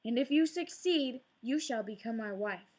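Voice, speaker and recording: A greedy, commanding storybook king lays down his orders slowly and sternly, as a woman reading aloud voices him. The voice stays fairly high for a king. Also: expressive, a little mellow.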